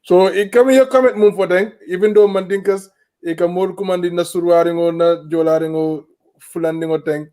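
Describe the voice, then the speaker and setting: Male talk host from Gambia, high-pitched, measured and explanatory. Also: steady tone, warm tone. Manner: measured, explaining, often asks "you understand?". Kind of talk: panel discussion, mixing English and a local language.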